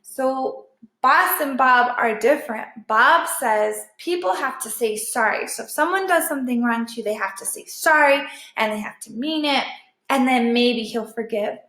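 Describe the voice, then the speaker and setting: A woman's voice: a little high, normal speed, sharp tone. A woman teacher reading a storybook aloud and adding simple explanatory asides. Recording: echoey room.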